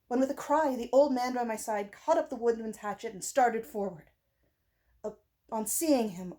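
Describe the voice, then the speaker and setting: A woman reading a gothic story aloud as dramatic narration, with pauses and phrases that drop to a hush. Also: medium pitch. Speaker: audiobook reader.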